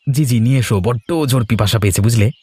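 A man playing a cheerful, easygoing husband in a cartoon fairy tale, with a Bengali accent and a genial, expressive manner. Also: mid-range voice, fast, breathy edge, close to an everyday voice. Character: cheerful, easygoing Bengali husband who loves village life. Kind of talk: cartoon voice acting, domestic dialogue.

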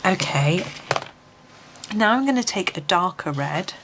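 Woman, lowish voice, slightly croaky, soft and half-whispered, colouring tutorial, faint room hiss. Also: expressive, measured pace. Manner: soft-spoken, often drops to a near whisper. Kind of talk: relaxed step-by-step tutorial. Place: home desk, filmed from above.

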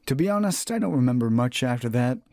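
A man's voice: medium pitch, animated, fast talker, a little mellow, no echo, clean studio sound. A man narrating a horror visual novel as a let's play host, reading aloud and sometimes stumbling and rereading phrases. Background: game music.

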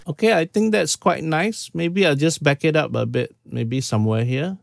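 A man's voice: on the high side, normal speed, creaky, no echo. Man, fifties, from Singapore, casual tutorial host, dry close sound. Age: 50s. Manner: informal, step-by-step, frequent "okay"s. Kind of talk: casual screen-share tutorial. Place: small room at home.

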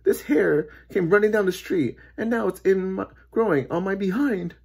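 Woman acting a yelling, frantic storybook man. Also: mid-range voice, moderate pace, creaky, husky, pitched above the performer's own voice. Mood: demanding. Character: panicked, demanding man in a children's storybook.

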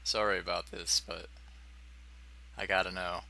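Bright, low-pitched man doing game commentary. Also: little pitch movement, unhurried, gravelly, faint music behind. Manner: sweary, self-deprecating. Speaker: let's player. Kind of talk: casual gameplay commentary.

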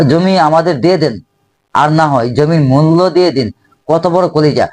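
A man's voice: on the high side, a little mellow, poor audio. A man from Bangladesh with a Bangladeshi accent, giving opinionated commentary as a video host.

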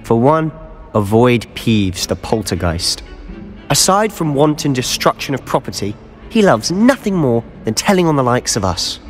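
An actor playing a confident, sly teenage British wizard student in a video game, with a cocky, conspiratorial manner. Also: male voice, mid-range voice, big pitch swings, normal speed, in a large hall, soft background music, natural voice. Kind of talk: video game cutscene acting.

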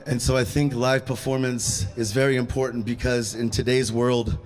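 A man's voice: medium pitch, moderate pace. A man in his thirties talking casually about street music and live shows, holding a handheld mic in an outdoor courtyard. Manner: relaxed, conversational teaching. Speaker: rapper. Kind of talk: outdoor rap workshop talk and live rapping. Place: outdoor courtyard at a small event. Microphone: handheld microphone. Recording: loud hip hop beat behind. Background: hip hop beat.

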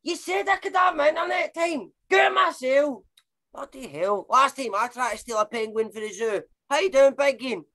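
A woman's voice: on the high side, moderate pace, few pauses, fairly bright tone, close to an everyday voice. An actress playing a chatty, blunt, sweary Glaswegian woman with slangy matey talk in an audio comedy. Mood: irritated, shouting. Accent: Glaswegian Scottish.

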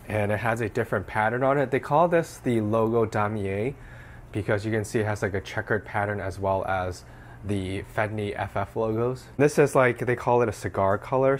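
Young guy a bit hoarse from a cold, lowish voice, chill unboxing talk, faint hum behind. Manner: relaxed, explanatory, with frequent "like". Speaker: fashion vlogger. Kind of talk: casual unboxing narration. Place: small room indoors at home.

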